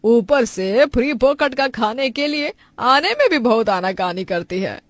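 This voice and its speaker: Actress as cartoon mother-in-law, middle-aged Indian, high voice, nagging and dramatic, dry close sound. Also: very animated, a little slow, no echo. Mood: scolding, exasperated. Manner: complaining, dramatic exclamations. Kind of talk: Hindi cartoon family drama dubbing.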